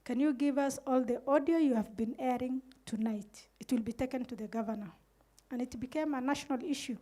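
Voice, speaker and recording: Earnest high-voiced Kenyan Somali woman. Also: brisk, dark tone. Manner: earnest, recounts events with quoted dialogue. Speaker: community radio manager on a panel. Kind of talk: panel talk, storytelling. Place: indoor room with curtains. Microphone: handheld microphone.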